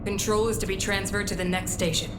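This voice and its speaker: A woman's voice: medium pitch, fairly even intonation, fast talker, music in the background, natural voice. A woman playing a decisive sci-fi officer in a video game, terse, urgent and authoritative. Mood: formal. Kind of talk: video game cutscene acting. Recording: echoey room with heavy noise.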